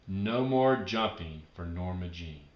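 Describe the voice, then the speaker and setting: A man's voice: low-pitched, slow, reverberant, dull audio, pitched below the performer's own voice. A man voicing an exuberant, childlike little girl in a children's storybook. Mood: glum, resolute. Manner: excited, exclamatory, childlike. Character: exuberant, bouncy little girl who loves jumping.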